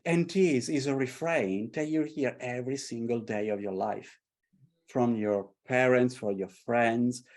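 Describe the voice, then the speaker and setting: Italian guy, strong accent, middle-aged, talks with real passion, animated. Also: medium pitch, unhurried. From Italy. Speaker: artist and tarot creator. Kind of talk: casual conversation. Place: London.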